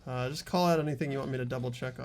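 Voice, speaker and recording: A man's voice: mid-range voice, very fast, darkish tone. A man hosting a video game playthrough, chatting casually and reading narration aloud, with game music behind him. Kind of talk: casual gameplay chat with read-aloud narration.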